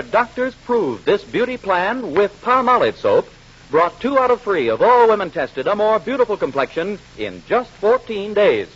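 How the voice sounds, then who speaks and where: A man's voice: sits high, husky. A man announcing a scripted 1940s radio commercial in an emphatic, persuasive manner. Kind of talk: scripted 1940s advertising read. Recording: muffled vintage radio broadcast.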